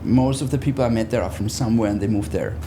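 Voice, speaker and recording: A man's voice: lowish voice, fast talker, background noise. A man, talking conversationally as a guest in an interview. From Austria. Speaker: interview guest, business therapist.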